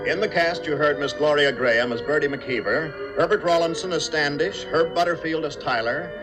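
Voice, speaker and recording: A man's voice: on the high side, normal speed, music in the background, rough recording. A man working as a radio announcer in a polished, formal 1950s style, reading scripted copy.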